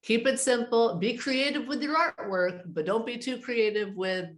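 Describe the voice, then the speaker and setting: A woman's voice: on the high side, moderate pace, reverberant. A woman speaking conversationally as an advisor being interviewed, explaining her work and giving practical advice. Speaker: interview guest, art advisor.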